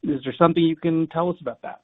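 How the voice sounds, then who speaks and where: Male caller, slightly raised pitch, conversational questioning, narrow telephone audio. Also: very fast, dry recording. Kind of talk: conversational question on conference call. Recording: dry, narrow phone line.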